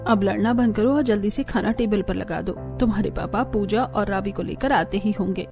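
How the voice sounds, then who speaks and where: A woman voicing a sensible middle-aged mother in a cartoon family story, gently scolding and practical. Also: medium pitch, normal speed, music in the background, lightly acted. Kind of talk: cartoon family drama dialogue.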